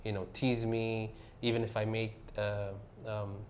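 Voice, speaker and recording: Man, middle-aged, from the UAE, interview guest, hesitant, filler-heavy, low pitch, faint background noise. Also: little pitch movement, a little slow, a little mellow. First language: Arabic. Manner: hesitant, many fillers, mixes English and Tagalog. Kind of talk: casual interview answers.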